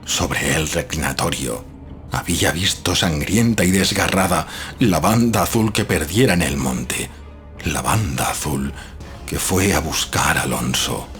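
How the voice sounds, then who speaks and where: Male narrator, very low voice, solemn and suspenseful gothic storytelling with weighty pauses, prominent music underneath. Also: a bit bright. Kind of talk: dramatic horror story narration.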